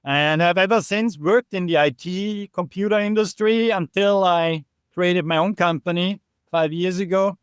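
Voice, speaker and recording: A man's voice: high voice, moderate pace, clean tone, dry recording, studio quality. A man in his forties from Austria, explaining his app as a podcast guest in an earnest, chatty way. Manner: earnest, explanatory, with frequent restarts and "right?" tags. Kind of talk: casual interview chat.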